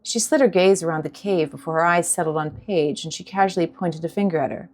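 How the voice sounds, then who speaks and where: A woman's voice: on the low side, brisk, a little mellow. A woman audiobook narrator reading a scripted story in a dramatic style.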